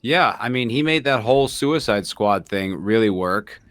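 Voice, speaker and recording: Male co-host, slightly low register, informal layman sidekick in a relaxed conversation, dry close recording. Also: moderate pace, dry recording. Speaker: co-host, self-described layman asking questions. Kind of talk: casual chat.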